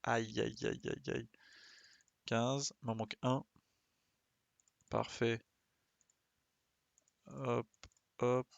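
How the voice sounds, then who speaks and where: A man's voice: medium pitch, little pitch movement, extremely slow, gravelly, pauses often, dry recording, dull audio. A man doing casual live gameplay commentary, thinking aloud as he plays and often muttering to himself. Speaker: game streamer.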